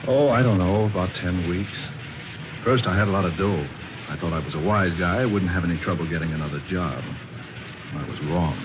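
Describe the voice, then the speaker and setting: An actor playing a broke, jobless, friendly wisecracker in a folksy, slangy 1940s radio drama style. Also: male voice, deep voice, fairly quick, close to an everyday voice. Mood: wry, resigned. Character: broke, jobless, friendly wisecracking man sleeping on park bench. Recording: muffled, noisy old radio recording.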